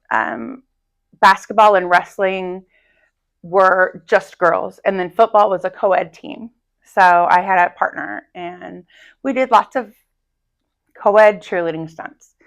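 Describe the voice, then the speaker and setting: Loud, lively woman teacher chatting. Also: medium pitch, slow pace. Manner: loud, energetic storyteller. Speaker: Spanish teacher, interview guest. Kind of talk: casual interview chat. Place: high school classroom.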